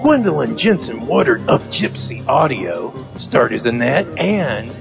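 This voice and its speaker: Gravelly, lively male announcer. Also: medium pitch, a little slow, over music. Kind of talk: scripted credits narration.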